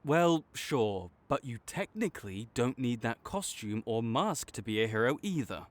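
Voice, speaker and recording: A man playing a proud supervillain in a dramatic audio roleplay, grudging and exasperated, with a secret soft spot that makes him flustered. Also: mid-range voice, very animated, unhurried. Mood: awkward, grudging affection. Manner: tsundere, grudging, exasperated, stammering when sincere.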